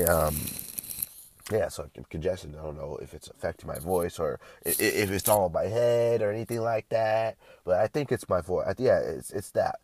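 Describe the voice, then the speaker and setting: A man's voice: sits low, a little mellow, dry recording. A young man vlogging casually with a stuffy, congested nose, rambling and swearing often with lots of restarts.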